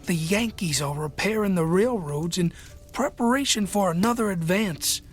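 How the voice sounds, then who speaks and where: An actor playing a weary, polite army corporal in a radio drama, speaking haltingly and with deference. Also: male voice, high-pitched, slightly rough, soft background music. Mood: grave.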